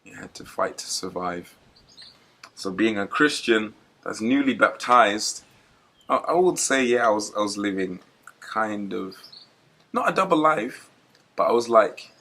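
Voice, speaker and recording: A young man from Zimbabwe, guest at a church interview, telling his story in a relaxed, reflective way and laughing easily. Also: fairly low pitch, measured pace. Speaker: guest preacher.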